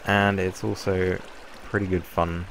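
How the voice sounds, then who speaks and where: A young man with a croaky voice from a cold, casually explaining a game build in a rambling way. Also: low voice, unhurried, a little hiss or hum, low-quality recording. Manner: relaxed, rambling explanation with fillers. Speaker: Minecraft YouTuber. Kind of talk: casual gameplay tutorial.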